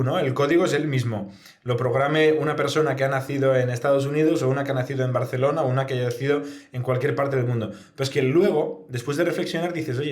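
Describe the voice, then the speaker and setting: A man's voice: medium pitch, darkish tone. Spanish man, echoey recording. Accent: Spanish from Spain. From Spain. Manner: reflective, explanatory, often adds tag questions. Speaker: guest, startup founder. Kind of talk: conversational interview answers. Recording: echoey, reverberant room sound.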